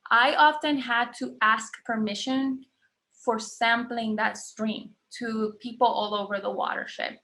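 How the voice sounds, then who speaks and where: A woman's voice: a little high, fairly bright tone. A woman from Puerto Rico giving an academic seminar talk as a guest lecturer, conversational, with fillers and restarts.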